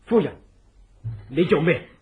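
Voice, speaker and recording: An actor with a Hong Kong Cantonese accent plays an earnest, loyal, scheming advisor to a fugitive in a theatrical horror radio drama. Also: male voice, fairly high pitch, animated, phone-quality sound, slight background noise. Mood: earnest, confiding. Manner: deliberate, theatrical, persuasive. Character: loyal scheming male resistance ally, earnest, deliberate advisor.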